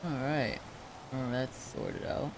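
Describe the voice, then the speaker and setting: A man chatting casually on a game livestream, telling stories in a laid-back, halting way. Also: mid-range voice, background noise. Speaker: game streamer. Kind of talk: casual livestream chat, recapping roleplay events.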